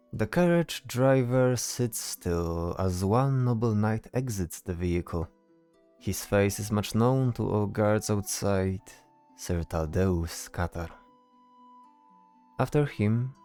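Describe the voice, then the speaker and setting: A man's voice: lowish voice, mellow. A man narrating a scripted fantasy audio story.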